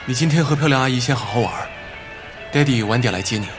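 A man's voice: medium pitch, quick pace, close to an everyday voice. Coaxing father played by a male actor, music behind. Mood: fond, coaxing. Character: father, adult man talking fondly to his small child. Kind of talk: modern Chinese radio drama acting.

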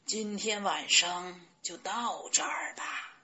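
A woman voicing a kind, weary old grandfather rooster storyteller, slow and sighing, with a melancholy air. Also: medium pitch, sing-song, bright, forceful tone, dull audio, heavily acted. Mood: chanting, magical. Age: older. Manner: slow, sighing, chanting delivery.

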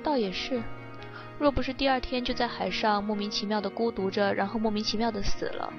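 An actress playing a nonchalant young woman adrift at sea in an audio drama, teasing with languid, deadpan frankness. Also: medium pitch, a little fast, highs cut off, music in the background, lightly acted. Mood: dreamy, detached.

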